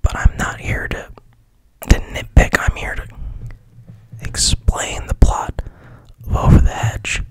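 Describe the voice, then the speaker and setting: A man whispering an ASMR retelling of a film plot into a mic, rambling and deadpan, with constant swearing. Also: slow, long pauses. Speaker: ASMR host. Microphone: studio mic.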